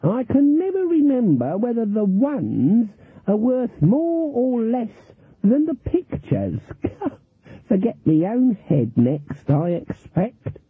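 A man's voice: very high voice, wildly swooping pitch, slow pace, husky, dry recording, pitched above the performer's own voice, heavily acted. A man voicing an old woman witch who plays at sweet innocence, in a fluttery, dithering way. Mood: innocent. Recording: thin phone-like sound.

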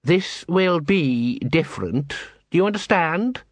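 Stern senior assassin doctor for an audiobook, curt and commanding, pitched up male narrator acting, dry close recording. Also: expressive, takes it slowly, dry recording. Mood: snapping, commanding.

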